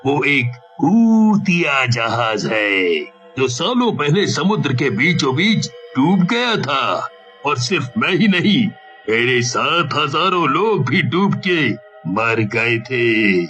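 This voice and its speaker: A man voicing a vengeful, gloating ghost ship captain in a cartoon, with a drawn-out, menacing delivery. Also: mid-range voice, big pitch swings, sharp tone, soft background music, exaggerated voice. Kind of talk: cartoon villain acting.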